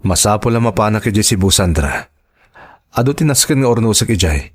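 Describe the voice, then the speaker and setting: A man's voice: lowish voice, expressive, fairly quick, husky. A man playing a devoted, reassuring husband and father in an Ilocano drama, speaking earnestly. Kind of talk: Ilocano radio-style drama acting.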